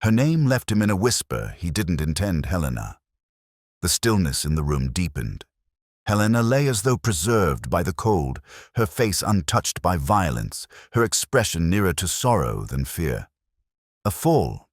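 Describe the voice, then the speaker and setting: A man narrating a scripted first-person detective mystery in a solemn, literary, measured way. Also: a bass voice, dry recording, clean studio sound.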